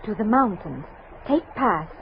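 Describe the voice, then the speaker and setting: An actress playing a calm, knowledgeable young woman guide in an old-time radio drama, speaking halting, simplified English. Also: medium pitch, vocal fry, narrow, tinny audio. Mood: calm, measured. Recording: thin, noisy vintage radio recording.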